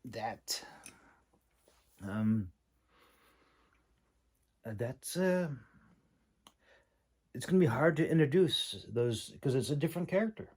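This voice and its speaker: A man giving a casual, unscripted critique as a host, halting and thinking aloud with long pauses. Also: medium pitch, lively intonation, darkish tone, breathy edge. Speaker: host critiquing a writer's manuscript.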